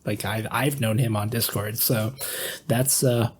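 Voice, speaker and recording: A man's voice: lowish voice, steady tone, measured pace, slightly rough. A man chatting casually and informally on a podcast.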